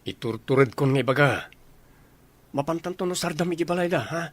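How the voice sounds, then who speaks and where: A man's voice: on the high side, a little fast, close to an everyday voice. A man playing a lovesick young soldier, earnest and bantering with his buddy, with an Ilocano accent, in a comedy radio drama. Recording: noisy ambience behind the voice.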